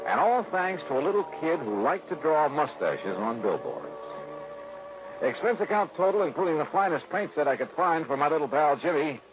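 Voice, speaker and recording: A man playing a brisk, confident, wisecracking insurance investigator in a 1950s radio drama. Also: fairly high pitch, big pitch swings, music in the background. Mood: wry narrating. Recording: tinny, noisy vintage radio recording.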